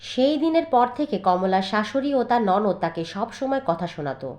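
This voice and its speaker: A woman's voice: mid-range voice, animated, fairly quick, darkish tone. A woman reading scripted narration for a children's-style fairy tale as its storyteller.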